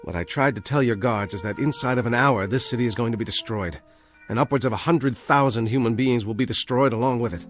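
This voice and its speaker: A man's voice: mid-range voice, fast talker, few pauses, natural voice. A man playing an earnest, intense American with a clipped, guarded way of speaking and an American accent, in vintage television drama style. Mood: urgent. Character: earnest, urgent American man carrying a grave warning. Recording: old TV soundtrack with music. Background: dramatic music.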